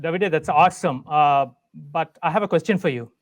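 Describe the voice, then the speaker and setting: Man, co-host, webinar questions, playful and casual, fairly high voice. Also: fairly quick.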